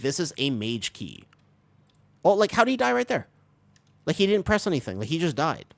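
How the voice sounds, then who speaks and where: A man streaming a video game live, chatting with viewers and explaining tactics while he plays, his voice still raspy from being sick. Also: medium pitch, a little mellow, no room sound. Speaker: streamer playing a tank. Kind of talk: live gameplay commentary.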